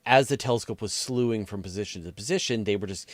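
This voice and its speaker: Deep-voiced male interviewer, dry close sound. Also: fast, no echo. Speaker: interviewer, podcast host. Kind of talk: conversational interview questioning.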